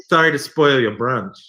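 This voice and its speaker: A man's voice: medium pitch, animated, slow, pushed and bright. A middle-aged man, telling stories casually and animatedly, with self-deprecating humour, as a guest on a call. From Australia. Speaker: guest, stage actor. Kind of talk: casual anecdotal storytelling. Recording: echoey room, video call audio.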